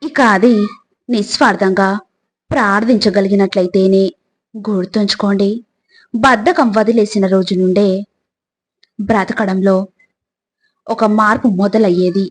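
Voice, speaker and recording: A woman reading scripted motivational narration in short, deliberate phrases, often turning to the listener. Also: mid-range voice, steady tone, breathy edge.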